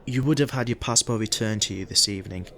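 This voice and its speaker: A man's voice: lowish voice, quick pace, a bit bright. A man playing a hesitant, by-the-book police detective in an audio drama, speaking formally. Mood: measured, hesitant.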